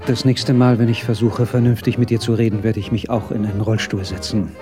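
An actor in a radio drama playing a sardonic failed film director, needling with sarcastic mock affection. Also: male voice, lowish voice, level tone, fairly quick, music in the background, close to an everyday voice. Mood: bitter sarcasm.

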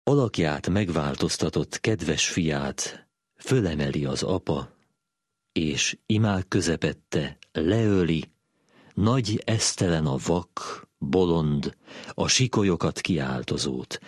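A solemn philosopher-poet of antiquity, acted by a man, declaims condemning verse gravely. The voice is very low and rough-edged, recorded with almost no room sound. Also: no room sound, clean studio sound. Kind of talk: dramatic poetry recitation.

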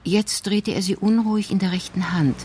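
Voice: mid-range voice, steady tone, normal speed, a little mellow, slight background noise, lightly acted. A performer narrating a detective story in a radio crime drama, in a descriptive storytelling manner.

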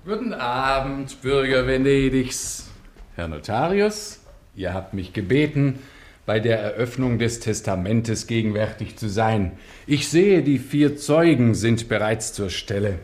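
An actor playing a stern, dignified Venetian judge in a German radio drama, measured and authoritative. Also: male voice, mid-range voice, expressive, a little mellow, close to an everyday voice. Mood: formal.

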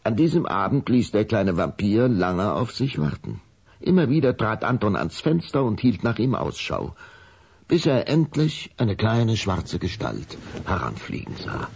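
A man narrating a children's radio play in a scripted storybook style, with a gravelly voice. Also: fairly low pitch, very animated, measured pace, a little mellow.

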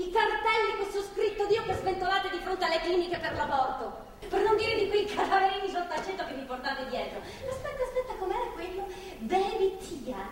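High-voiced defiant young captive, echoey stage. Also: female voice, a bit bright. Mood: scornful, angry. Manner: foul-mouthed, agitated, repetitive and halting. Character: captive young pregnant woman, bitter, sarcastic, defiant. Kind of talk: theatrical stage drama acting. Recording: echoey, distant theatre recording, faint noise.

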